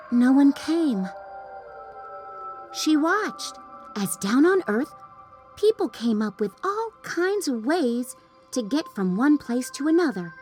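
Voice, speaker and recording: Slow, animated woman storyteller. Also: on the high side, slightly rough. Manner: dramatic, deliberate emphasis for young listeners. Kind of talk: children's storybook read-aloud.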